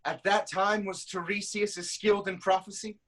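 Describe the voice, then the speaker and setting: A man's voice: sits high, little pitch movement, moderate pace, fairly bright tone. A man playing an angry, suspicious ancient Greek king in a declamatory, accusing stage tragedy reading. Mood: suspicious, interrogating.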